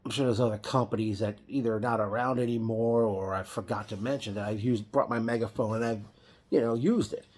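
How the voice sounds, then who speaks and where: A man's voice: fairly low pitch, steady tone, brisk, darkish tone. A man giving a casual, rambling reaction vlog, often correcting himself mid-sentence. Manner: rambling, with frequent restarts and self-corrections. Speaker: wrestling fan vlogger.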